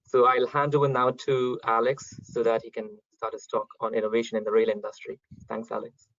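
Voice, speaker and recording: A man's voice: mid-range voice, little pitch movement, a little fast, a bit bright, dry recording. Guy hosting an online talk, polite and a bit hesitant, dry dull call sound. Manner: polite, organised, hesitant with fillers. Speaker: event host. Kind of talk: webinar hosting. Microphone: video call.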